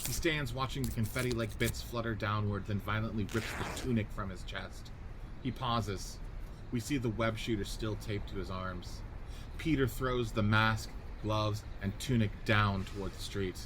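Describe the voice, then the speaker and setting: Low-voiced man narrating a script. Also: steady tone, normal speed. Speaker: podcast host and script narrator. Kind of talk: scripted narration of screenplay directions. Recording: faint background noise.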